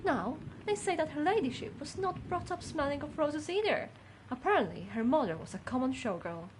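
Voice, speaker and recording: A woman acts out a fussy older housekeeper from a video game. She is high-pitched, judgmental and gossipy, speaking with haughty primness. There is clear noise and ambience behind her. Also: sing-song, a little fast. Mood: disapproving, suspicious. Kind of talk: scripted game character dialogue.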